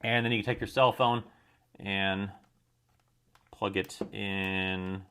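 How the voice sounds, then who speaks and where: A man's voice: sits low, steady tone, slow pace, a bit bright, a little hiss or hum. An American man giving an informal product demonstration and review, explaining each point in a matter-of-fact way.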